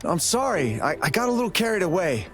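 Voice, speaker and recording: A man voicing an excitable, sheepish young thrill-seeker in a video game. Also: high voice, quick pace, over music.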